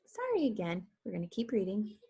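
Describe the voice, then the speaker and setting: A woman reading a children's story aloud with expressive narration. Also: medium pitch, brisk, slightly rough. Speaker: teacher or reader reading a children's book aloud.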